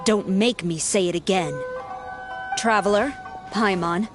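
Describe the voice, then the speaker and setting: A woman's voice: mid-range voice, unhurried, music in the background, close to an everyday voice. Stern female general acted by a woman, formal. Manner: formal, authoritative, no-nonsense. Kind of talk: video game voice acting.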